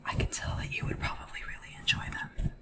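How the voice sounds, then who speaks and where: A performer whispering in a breathy, soothing, chatty way, playing a gentle sleep assistant to royalty in an ASMR roleplay. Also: quick pace, recorded from a distance. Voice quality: breathy, mostly unvoiced whisper. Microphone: close ASMR microphone, ear to ear.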